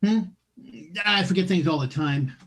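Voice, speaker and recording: A man's voice: on the high side, normal speed, fairly bright tone, close to an everyday voice. An actor playing a forgetful, bumbling old uncle in a radio play, muddled and hesitant in his talk. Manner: muddled, hesitant, muttering to himself.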